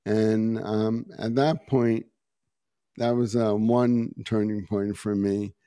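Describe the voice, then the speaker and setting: A middle-aged man speaks low and slowly, with a raspy, hoarse edge on every phrase. He stops often on uh and um as he tells his own story. The sound is dry and close. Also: flat delivery, dark tone, dry recording. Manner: slow and halting, many uh and um. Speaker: podcast guest, meditation teacher. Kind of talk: personal story in conversation.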